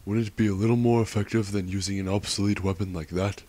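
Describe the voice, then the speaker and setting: A man's voice: lowish voice, steady tone, fast talker, mellow, dry recording, lightly acted. A man voicing an earnest, exasperated village man in a parody game dub. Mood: impatient.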